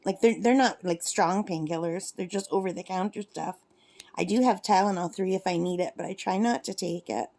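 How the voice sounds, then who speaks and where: A woman's voice: on the low side, normal speed, gravelly, mellow. A woman in her fifties chatting casually in a rambling, self-correcting way, vlogging from her lakeside cabin while she crafts. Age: 50s. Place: cabin at a lake.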